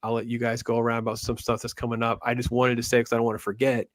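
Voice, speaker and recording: A man's voice: medium pitch, monotone, very fast. A middle-aged man hosting a casual livestream chat, rambling conversationally in short, clipped sentences. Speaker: host of an online Star Wars fan show. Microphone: online call on a livestream, camera off.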